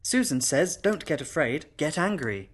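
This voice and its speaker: A man's voice: on the high side, big pitch swings, measured pace, breathy edge, voice pushed up, full character voice. A man voicing a small, earnest girl who talks in a cute, matter-of-fact way in a storybook reading. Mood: calm.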